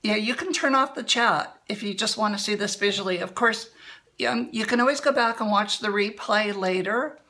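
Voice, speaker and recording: Calm, bright-voiced woman giving a demo. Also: mid-range voice. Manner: calm, explanatory, unhurried. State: slightly hoarse. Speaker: livestream demonstrator. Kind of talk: instructional demo talk. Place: indoor room at a table.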